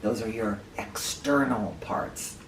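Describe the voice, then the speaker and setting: A man hosting an educational read-aloud for children, speaking directly to them with clear emphasis and questions. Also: lowish voice, slow pace. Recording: roomy, distant mic with faint noise.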